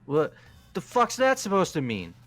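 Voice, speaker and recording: A man's voice: sits high, huge pitch swings, normal speed, faint music behind, pitched above the performer's own voice. A man voicing a hot-headed young delinquent who swears and picks fights. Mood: aggressive. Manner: aggressive, swearing.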